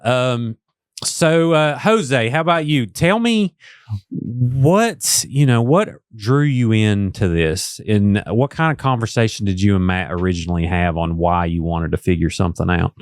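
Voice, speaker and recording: A man's voice: on the low side, measured pace, dry recording. Guy hosting a podcast, chill and jokey, dry close mic. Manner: friendly, joking, asks questions. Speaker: podcast host. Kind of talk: casual podcast interviewing.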